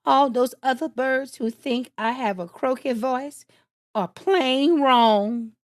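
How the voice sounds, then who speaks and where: A woman's voice: high-pitched, takes it slowly, dry recording, studio quality, pitched above the performer's own voice. A woman voicing a vain, proud female crow in a storybook reading. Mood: delighted. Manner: boastful, prideful.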